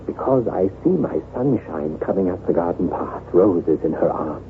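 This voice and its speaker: An actor playing a besotted, guilt-haunted lord of a chateau in an effusive, theatrical radio drama style. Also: male voice, low-pitched, animated, moderate pace. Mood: fond. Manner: theatrical, effusive, gushing over his bride. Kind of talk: 1970s radio drama acting. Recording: tinny, band-limited old radio recording with hiss.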